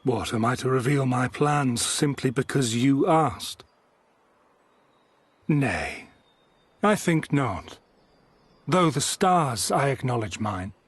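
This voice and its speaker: A man's voice: medium pitch, lively intonation, takes it slowly, pauses often, husky, no room sound. A man playing a haughty, scheming video game villain who taunts in a theatrical, archaic, condescending way. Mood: smug, taunting. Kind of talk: video game cutscene acting.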